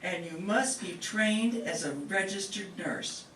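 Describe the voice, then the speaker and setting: A woman's voice: medium pitch, slow, fairly bright tone, slight background noise. Woman playing a strict charge nurse, echoey stage. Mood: stern, commanding. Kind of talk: amateur staged radio play. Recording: echoey, distant, music behind.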